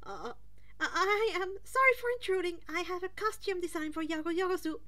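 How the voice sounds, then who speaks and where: A woman's voice: extremely high pitch, fairly quick, bright, forceful tone, pitched above the performer's own voice. A woman voicing a polite, eager and nervous teenage schoolboy in a story reading, with an apologetic, earnest manner.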